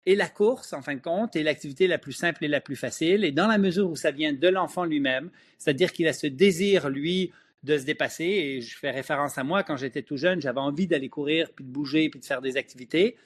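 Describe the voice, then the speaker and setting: A middle-aged man speaks French with a Quebec accent and a somewhat high-set voice. He gives opinionated, emphatic explanations in the manner of an expert guest being interviewed. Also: fairly quick. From Switzerland, moved to Quebec at ten. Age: middle-aged or older.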